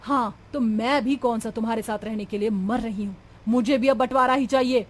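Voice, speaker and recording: A young daughter-in-law in a family cartoon, voiced by a woman, high in pitch. She is sharp-tongued and indignant, scolding her way through domestic feuds with plenty of melodrama. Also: moderate pace, lightly acted. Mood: angry, indignant. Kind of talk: cartoon family drama dialogue.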